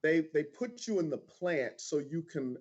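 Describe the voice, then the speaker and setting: A man's voice: fairly high pitch, big pitch swings, a little slow, a little mellow. A middle-aged man from Houston with an American accent, answering interview questions in an informal, candid way. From Houston, Texas, United States. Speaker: interview guest, insurance agent. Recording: dull lo-fi livestream audio.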